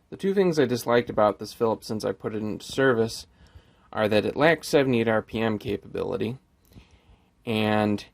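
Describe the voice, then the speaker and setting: A man's voice: mid-range voice, no room sound. Male hobbyist presenter, mid-range voice, methodical and technically exact, walking through a device demo in a dry recording. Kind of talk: explanatory tech demonstration.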